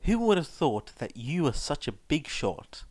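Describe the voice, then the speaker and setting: A man's voice: on the high side, big pitch swings, measured pace, darkish tone, no echo, voice pushed up. A man voicing a brash, clueless teenage boy in a story, blurting and stammering with indignation. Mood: earnest.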